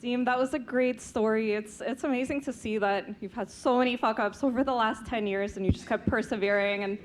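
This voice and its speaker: A woman's voice: a little high, fairly even intonation, a little fast, heavy background noise. A woman hosting an event, praising the speaker afterward, repeating a few words as she goes. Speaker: event host. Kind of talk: wrap-up remarks to a speaker.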